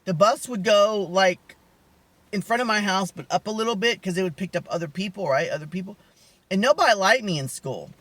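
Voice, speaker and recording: A young man with a high voice, telling personal stories in a rambling, candid way, often losing his thread. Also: expressive, fairly quick, fairly bright tone, dry recording. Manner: rambling, candid, lots of restarts and asides. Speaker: vlogger. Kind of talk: casual storytelling to camera.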